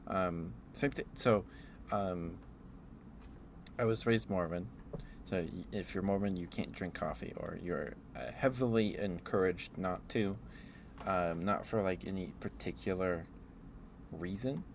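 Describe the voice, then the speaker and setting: A man streaming ASMR, chatting in a halting, rambling way through casual personal stories. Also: low-pitched, slight background noise.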